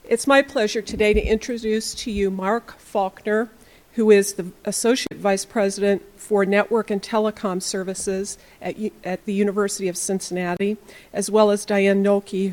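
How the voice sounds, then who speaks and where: A woman's voice: mid-range voice, fairly even intonation, moderate pace, darkish tone. A woman formally introducing the speakers at a conference session, reading prepared bios.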